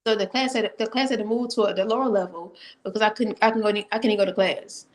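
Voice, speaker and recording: An American woman hosting an interview over a video call, talking in a friendly, halting way with fillers. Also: mid-range voice, steady tone. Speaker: podcast host. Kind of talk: casual interview chat.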